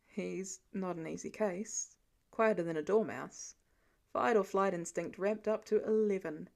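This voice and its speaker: Woman voicing a gentle, weary dad, pitched low. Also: unhurried, gravelly. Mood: dry, wary. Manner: low-key, plain-spoken, dry. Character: gentle, weary middle-aged father, quietly caring.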